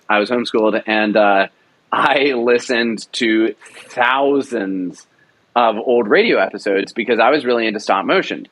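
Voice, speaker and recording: A man's voice: medium pitch, fairly bright tone. A man producer talking in an interview, enthusiastic and rambling, with many restarts. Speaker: radio drama producer. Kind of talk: casual interview talk.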